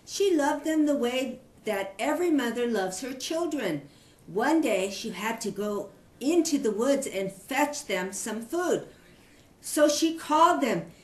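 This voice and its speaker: An older woman, a grandmother, reading a children's story aloud in an expressive storytelling manner. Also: fairly high pitch, a little slow. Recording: echoey room.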